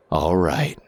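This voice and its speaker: A man playing a cold, menacing masked mercenary in an audio drama, speaking in a measured, composed way. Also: low-pitched, vocal fry, breathy edge, dry recording, heavily acted.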